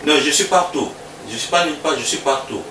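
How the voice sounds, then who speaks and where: A man from Congo, a musician, answering interview questions in a relaxed, halting way that repeats words. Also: on the high side. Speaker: musician guest. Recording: roomy sound from a distance with background noise.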